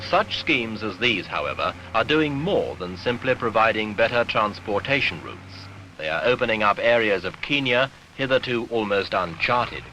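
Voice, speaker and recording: A man narrating a 1970s documentary newsreel in a formal, measured, authoritative way, on an archival soundtrack. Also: lowish voice, lively intonation, bright, forceful tone, a little hiss or hum, rough recording.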